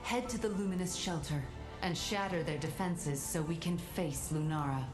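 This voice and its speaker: Echoey, low-pitched stern woman guide, acted. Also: lightly acted. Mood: firm briefing. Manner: measured, formal. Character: composed, stern mystical woman guide and strategist. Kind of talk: fantasy video game acting. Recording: echoey room, music behind.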